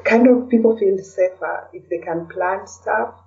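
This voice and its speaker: A woman from Kenya speaking as a podcast guest in a casual, reflective way, often pausing to search for words. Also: mid-range voice, gravelly, mellow. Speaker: interview guest, blogger and podcaster. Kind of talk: casual conversational interview. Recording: distant, dull lo-fi call sound.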